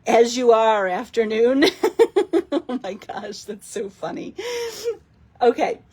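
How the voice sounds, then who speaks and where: A hoarse woman with a raspy voice demonstrates card-making in a chatty, giggly live stream. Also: a little high, steady tone, slow pace, darkish tone. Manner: chatty, giggly, rambling with fillers. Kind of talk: casual live craft demo.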